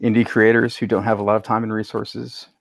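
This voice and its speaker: A man speaking in a measured, thoughtful way as a panelist in a casual podcast discussion. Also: fairly low pitch, level tone, creaky, dry recording.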